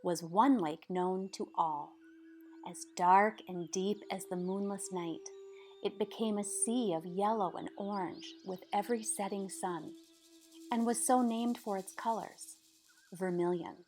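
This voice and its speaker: A woman narrating a storybook aloud in a measured, expressive way, with dramatic pauses. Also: medium pitch. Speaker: storybook reader. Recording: music behind the voice. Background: background music.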